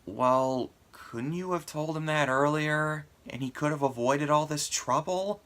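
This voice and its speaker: A man's voice: on the high side, a little slow, fairly bright tone. A man giving a casual, opinionated movie review in an emphatic conversational way, sounding a little congested with a sore throat. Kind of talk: casual video review commentary.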